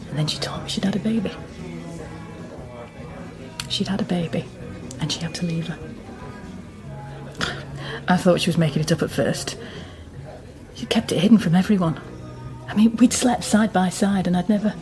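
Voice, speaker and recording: Actress as low-voiced confiding woman over music. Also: normal speed, pauses often, natural voice. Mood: subdued, confiding. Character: hesitant woman confiding a long-kept family secret. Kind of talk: naturalistic radio drama acting.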